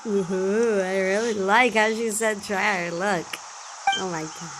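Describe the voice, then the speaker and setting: A woman's voice: mid-range voice, fairly even intonation, unhurried. A woman giving casual commentary while playing a video game, in a very noisy recording. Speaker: gamer playing through a quest.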